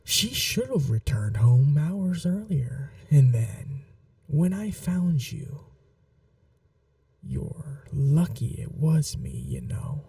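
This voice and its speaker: A man playing a possessive, seductive vampire in an intimate ASMR roleplay, speaking in a soft, breathy half-whisper with a languid, teasing, condescending manner. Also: a little high, big pitch swings, slow pace, no room sound, far from the mic, exaggerated voice. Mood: nostalgic, dark.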